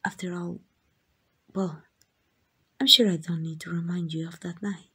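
Woman acting as tender queen in love, Mexican accent, extremely breathy whisper, gentle, unhurried, ASMR roleplay. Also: on the low side, steady tone, full character voice. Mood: tender, wistful. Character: tender, devoted queen in secret love with a commoner.

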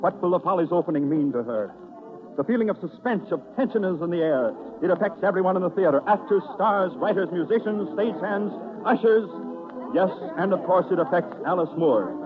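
A man's voice: high-pitched, expressive, a little fast. A man narrating a 1930s radio drama in a theatrical, suspenseful manner. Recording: tinny 1930s radio recording. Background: music.

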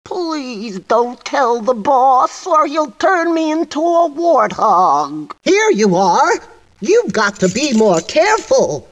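A man's voice: very high voice, very animated, takes it slowly, exaggerated voice. A man voicing a timid, furtive gnome servant in a cartoon game, nervously giving conspiratorial cautions. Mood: anxious pleading. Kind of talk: cartoon video game character acting.

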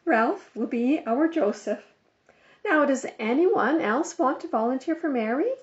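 A brisk mother voiced by a woman reader instructs children in a storybook. Her voice is fairly high and firm. The sound is a little lo-fi. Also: expressive. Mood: polite, coaxing. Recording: slightly dull lo-fi recording.

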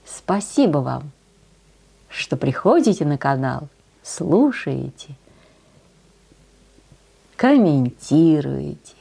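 An older woman telling a folk tale expressively, in an unhurried, folksy way with homely diminutives. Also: sits low, big pitch swings, creaky, mellow, slightly rough. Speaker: storyteller on a channel. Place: small decorated room indoors.

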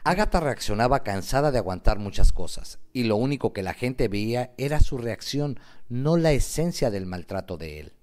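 A man narrating a scripted story dramatically, in an emphatic, deliberate way. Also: mid-range voice, very animated, a little mellow.

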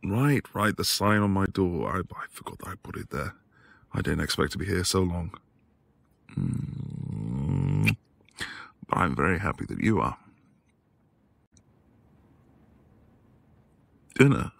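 Quiet, devoted academic husband male performer for boyfriend audio drama; husky, expressive delivery, hesitant and tender. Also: sits low, a little slow, dry recording, full character voice. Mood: soft, flustered, then surprised, apologetic. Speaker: audio roleplay voice actor. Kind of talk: intimate boyfriend ASMR roleplay acting.

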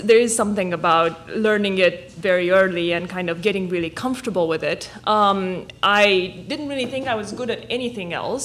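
A woman in her thirties, originally from Romania, talks through her story at a panel in a lecture hall. Her voice sits at an ordinary middle pitch for a woman, and she speaks into a table microphone on a stand. She is thoughtful and unhurried, often stopping on "um" and repeating a word before she goes on. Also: a bit bright. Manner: thoughtful, frequent ums and repeated words. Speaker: panelist, math professor. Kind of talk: casual panel talk to students.

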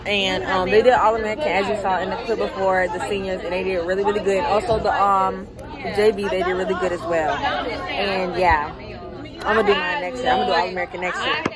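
A young woman with a raspy voice chatting casually in a vlog, sounding tired and using slang. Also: mid-range voice, steady tone, brisk. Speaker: vlogger. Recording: loud crowd noise.

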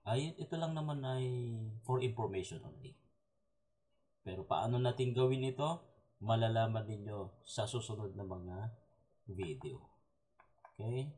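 A man giving a relaxed software walkthrough, explaining step by step with frequent fillers and tag questions. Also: on the low side, unhurried, pauses often. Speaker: software tutorial presenter. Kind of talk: casual screen-recorded software walkthrough.

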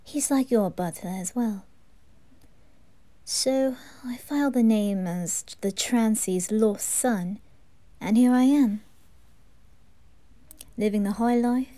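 Woman as a wistful young nobleman, slow halting delivery, frequent whispers, bright tone, dry close sound, ASMR roleplay. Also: on the high side, dry recording. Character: wistful, lovesick aristocratic young man, former orphan. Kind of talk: ASMR roleplay, acted monologue.

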